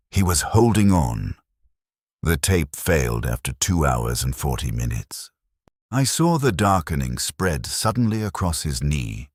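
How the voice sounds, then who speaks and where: Very deep, husky male narrator. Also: no echo, clean studio sound. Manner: grave, measured, short clipped sentences. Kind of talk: first-person dramatic scripted story narration.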